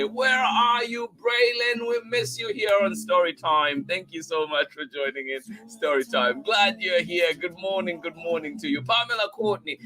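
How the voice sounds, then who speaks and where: A man's voice: a little high, pushed and bright, low-quality recording. A man hosting a livestreamed children's story time, effusively greeting and thanking his listeners before a read-aloud.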